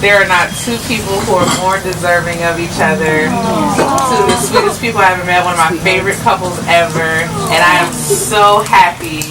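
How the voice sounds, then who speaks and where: A woman's voice: fairly low pitch, moderate pace. A woman guest giving a bubbly, laughing wedding toast at a reception. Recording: distant mic in a noisy crowd.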